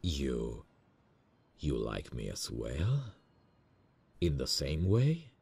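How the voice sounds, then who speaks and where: A man acts out a tender, earnest male boss in a romantic audio roleplay. His voice sits extremely low and is husky and full of fry. He speaks intimately and hesitantly, like someone nervous and in love. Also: wildly swooping pitch, heavily acted. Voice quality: very deep, gravelly, husky. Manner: hesitant, slow, soft-hearted.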